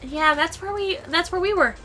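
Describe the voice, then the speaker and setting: A woman's voice: very high-pitched, normal speed, pushed and bright, soft background music, voice pushed up. A woman voicing a worried young girl in a story, tentative and plain-spoken. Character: worried young girl with a red bow tie.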